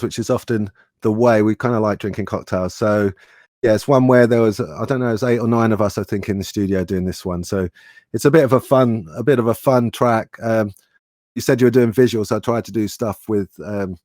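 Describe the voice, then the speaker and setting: A man's voice: fairly low pitch, darkish tone, no echo, clean studio sound. A man chatting casually as a guest musician, easygoing and jokey. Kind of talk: casual interview chat.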